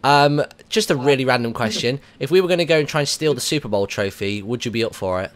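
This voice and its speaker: A man's voice: mid-range voice, quick pace. A British man hosting a radio show in a casual, chatty interview style.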